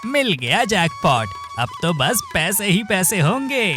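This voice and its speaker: A man's voice: sits high, sing-song, a little slow. A man doing a bossy, greedy cartoon thief. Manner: scolding, scheming. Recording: background music.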